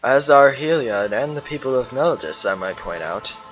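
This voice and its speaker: A man playing a calm, loyal lion-man warrior, speaking in measured, formal phrases in a fan-made fantasy drama. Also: mid-range voice, highs cut off, close to an everyday voice. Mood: measured, gently insistent. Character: calm, loyal male leonin planeswalker, steadfast friend. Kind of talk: dramatic dialogue in a fan-made motion comic.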